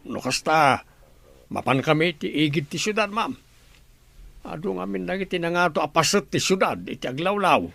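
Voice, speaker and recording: Man as a gravelly, plain-spoken hired helper. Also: on the high side, lively intonation, moderate pace, a bit bright, dry recording, heavily acted. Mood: reluctant, compliant. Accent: Filipino, Ilocano. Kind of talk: Ilocano audio drama acting.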